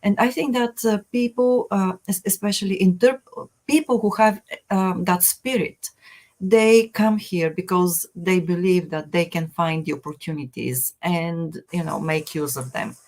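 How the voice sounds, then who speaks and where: A woman's voice: lowish voice, measured pace, a little mellow. A woman on a discussion panel speaking in an earnest, agreeable way, with frequent fillers.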